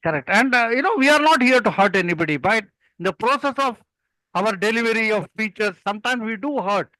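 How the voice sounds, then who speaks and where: A man's voice: sits high, lively intonation, a little fast, bright, forceful tone, no room sound, rough recording. An older man from Kerala with a South Indian accent and Malayalam as his first language, telling stories and giving earnest, emphatic advice in conversation. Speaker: guest, experienced club speaker.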